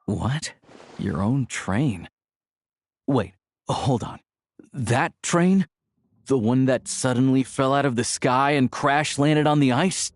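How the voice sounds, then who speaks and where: A man's voice: mid-range voice, slow, long pauses, slight background noise. A man voicing an easygoing, friendly young hunter in a video game, with a casual, wry manner. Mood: astonished.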